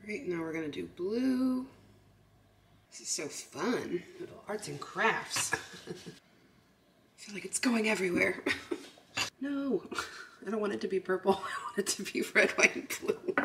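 A woman's voice: mid-range voice, expressive, measured pace, long pauses, background noise. A woman vlogger chatting casually through a baking project at home, talking through each step and giggling often. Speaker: lifestyle vlogger. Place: home kitchen.

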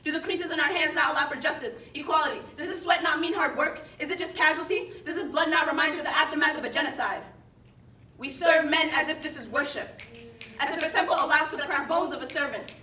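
A young woman recites spoken word with forceful emphasis. Her voice is high and bright. She is picked up from a distance in a roomy space. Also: quick pace. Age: young adult. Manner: emphatic, declamatory. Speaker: slam poet. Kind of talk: spoken word poetry performance. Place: indoor stage in front of a projection screen. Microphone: far camera. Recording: roomy, distant microphone, background noise.

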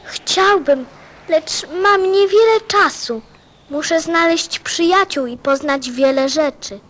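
A woman playing an innocent, earnest little boy prince in an audio play, gentle and thoughtful. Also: extremely high pitch, slow, exaggerated voice. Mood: sincere.

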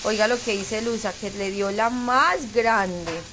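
Woman, Colombian accent, medium pitch, casual live co-host chat, clear kitchen sizzle behind. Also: slow pace. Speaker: co-host reading viewer comments. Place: home kitchen, indoors. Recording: noisy kitchen with sizzling pan.

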